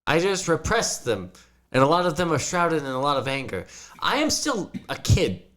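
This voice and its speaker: A man's voice: fairly high pitch, big pitch swings, a bit bright. A young man playing a tabletop role-playing game, joking, swearing and laughing a lot, sometimes speaking in character. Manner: laughing, profane, rapid joking. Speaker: player. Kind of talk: casual tabletop game chat, partly in character.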